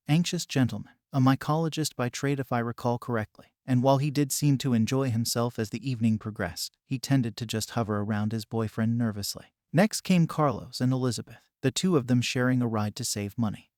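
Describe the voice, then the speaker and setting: A man reads a creepy tale in an even, measured voice at an ordinary middle pitch. The sound is dry and close, with no room around it. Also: no echo. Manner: calm, measured storytelling. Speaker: story narrator. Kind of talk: scripted narration.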